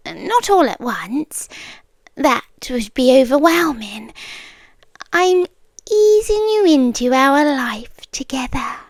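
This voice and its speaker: High, sweetly menacing British yandere girlfriend, acted by a woman. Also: no room sound, studio quality, full character voice. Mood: tender, brooding, then calm, insistent. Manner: coy, doting, unsettlingly calm, breathy whispering. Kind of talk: ASMR roleplay, intimate soft-spoken acting. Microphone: close microphone, dry.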